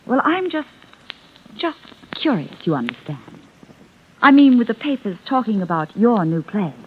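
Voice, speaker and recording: A woman's voice: fairly high pitch, sing-song, normal speed, phone-quality sound. A woman playing a self-assured young actress in a 1950s radio drama, coy and insinuating with pointed hesitations. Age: young adult. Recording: thin noisy vintage radio recording.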